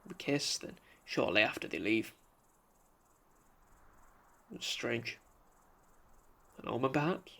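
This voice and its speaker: An actor playing a pensive, solitary young man in a radio drama monologue, speaking in a reflective, halting, poetic way with a creaky voice. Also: mid-range voice, fairly bright tone, full character voice.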